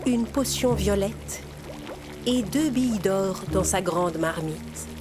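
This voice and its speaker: A woman storyteller reading scripted fairy-tale narration for children. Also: medium pitch.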